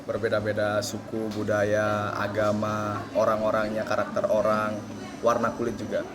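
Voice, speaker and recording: A man from Kebumen in Central Java calmly answering interview questions. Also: lowish voice, moderate pace, over music.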